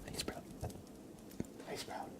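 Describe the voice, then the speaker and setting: A man hosting an ASMR livestream, chatting casually and playfully in a soft voice that often slips into whispers. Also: takes it slowly. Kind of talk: ASMR, casual chat to viewers and a pet cat.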